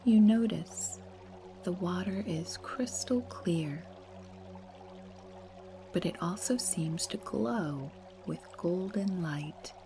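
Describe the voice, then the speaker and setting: A woman leading a guided meditation in a soft, breathy, soothing and unhurried way. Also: on the low side. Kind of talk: slow guided meditation narration. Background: meditation music.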